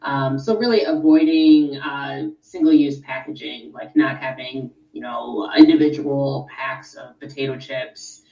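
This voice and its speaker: A woman's voice: rumbling low, measured pace, hardly any pauses, mellow, reverberant, far from the mic, lo-fi sound. A woman guest in a radio interview, explaining things informatively with frequent fillers. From California. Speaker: interview guest, sustainability expert.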